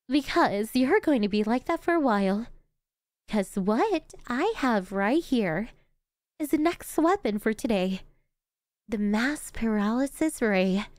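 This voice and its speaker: A woman's voice: on the high side, a little mellow, no echo, studio quality. A woman playing a gleeful, cocky yet doting female supervillain in an ASMR roleplay, with a theatrical, teasing, chatty manner. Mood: smug menace.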